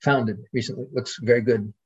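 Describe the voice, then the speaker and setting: Male academic guest, husky mid-pitched voice, discursive and hesitant, dull lo-fi recording. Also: steady tone, fairly quick. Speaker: podcast guest, literary scholar. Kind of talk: scholarly conversation.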